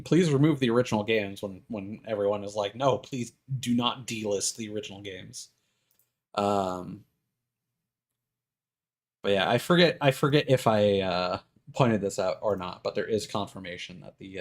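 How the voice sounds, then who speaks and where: A man doing casual, rambling live stream commentary on a game, thinking aloud in hesitant fragments. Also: fairly low pitch.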